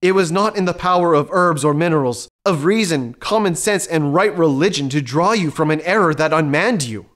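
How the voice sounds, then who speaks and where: A man plays an earnest physician and counselor in a period audio comedy. His slightly high voice is formal and measured, reasoning persuasively like a gentleman. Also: natural voice. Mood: earnest, soothing.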